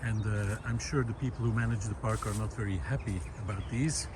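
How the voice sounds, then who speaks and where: Thoughtful man outdoors, clear background noise. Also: on the low side, fairly even intonation. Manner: hesitant, thoughtful, pausing mid-sentence. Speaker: presenter of a nature and philosophy video series. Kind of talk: unscripted reflective explainer to camera. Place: outdoors in a park.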